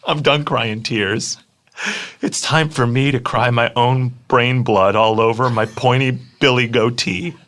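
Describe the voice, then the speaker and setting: A man's voice: mid-range voice, vocal fry, pushed and bright. A man playing a despairing, embittered old patriot in a comic dramatic monologue, ranting profanely with folksy turns of phrase. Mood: despairing, bitter.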